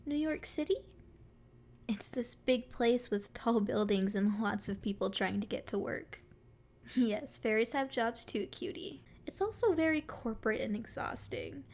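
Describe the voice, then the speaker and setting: Woman voicing a high-pitched, sweet forest fairy. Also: measured pace, a little mellow. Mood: chatty, friendly.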